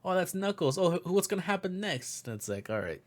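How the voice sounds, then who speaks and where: Man bantering with very lively pitch swings. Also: fairly quick. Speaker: podcast co-host. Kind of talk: casual banter, reacting to the other host.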